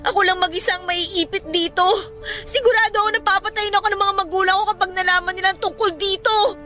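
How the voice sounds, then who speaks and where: An anxious young college girlfriend in a Filipino radio drama. Her voice is very high and bright, snappish and accusing, with faint music underneath. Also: steady tone, quick pace. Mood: tearful, panicked.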